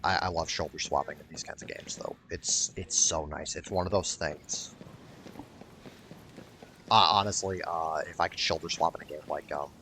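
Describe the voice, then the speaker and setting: Deep-voiced man doing casual gameplay commentary. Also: fairly even intonation, normal speed, sharp tone, slight background noise. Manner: rambling, sweary, wryly exasperated. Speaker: video game streamer.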